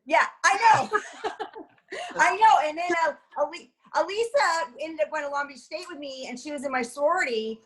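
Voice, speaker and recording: A woman's voice: high voice, normal speed, fairly bright tone, low-quality recording. A woman in her fifties, co-hosting on a video call, chatting in a bubbly, laughing way. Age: 50s. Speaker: co-host. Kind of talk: casual video call chat. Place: home room with bookshelves.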